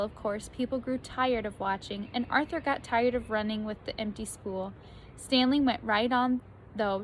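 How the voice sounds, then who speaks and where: A woman reading a children's story aloud like a teacher, expressively, with animated emphasis on key words. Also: on the high side, a little hiss or hum.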